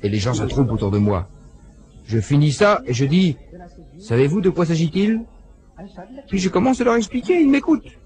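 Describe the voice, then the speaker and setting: Animated male voice-over narrator. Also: on the high side. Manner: animated storytelling, acting out quoted dialogue. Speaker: voice-over translator. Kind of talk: French voice-over of a lecture.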